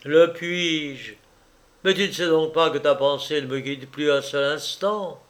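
A man's voice: a little high, a little slow, a bit bright. A man playing a desperate, impassioned young lover. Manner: impassioned, pleading declamation.

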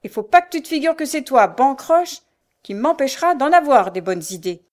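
A cruel old beggar woman from a dramatic novel, acted out by a woman narrator. Her speech is coarse and spiteful, full of sneers and scolding. The voice rides high and forceful, always close to rage. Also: animated, moderate pace. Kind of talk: dramatic audiobook character voice.

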